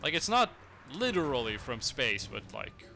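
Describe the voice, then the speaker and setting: A man's voice: medium pitch, sing-song, normal speed, creaky, pushed and bright, faint music behind. A young man from Norway with a Norwegian accent, chatting casually with viewers while he plays a strategy game, rambling with lots of fillers. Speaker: streamer.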